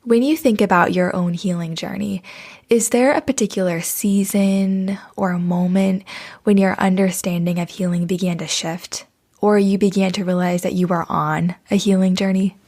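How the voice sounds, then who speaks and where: A woman's voice: mid-range voice, steady tone, normal speed, darkish tone. A woman hosting a podcast, opening with a scripted introduction and then interviewing her guest in an encouraging way. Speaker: podcast host.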